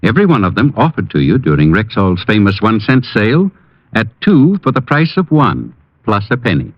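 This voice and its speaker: A man reading a scripted 1940s radio advertisement as a friendly-druggist pitchman, with emphatic, measured salesmanship. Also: huge pitch swings, dry recording. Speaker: commercial pitchman playing a family druggist. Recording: dull old radio broadcast recording.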